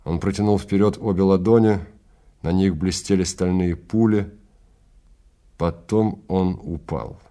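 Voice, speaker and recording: A man with a fairly low voice serves as narrator. He reads scripted science fiction in a dramatic storytelling style. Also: steady tone, measured pace, warm tone.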